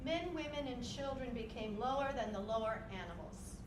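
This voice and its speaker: A woman's voice: on the high side, lively intonation, moderate pace, reverberant, background noise. A woman narrating a dramatized story in a live staged reading.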